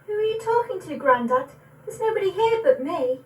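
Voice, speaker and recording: A young woman of eighteen playing a curious, innocent little granddaughter, asking questions in a measured verse recital. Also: very high-pitched, lively intonation, reverberant, far from the mic, rough recording. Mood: puzzled. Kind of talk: dramatic poetry recital.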